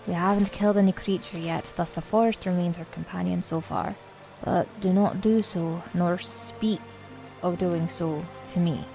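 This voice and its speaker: A woman playing a solemn, wary druid who speaks in a grave, measured, formal way in a fantasy audio drama. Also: on the low side, steady tone, gravelly, dark tone, soft background music, rough recording. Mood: stern.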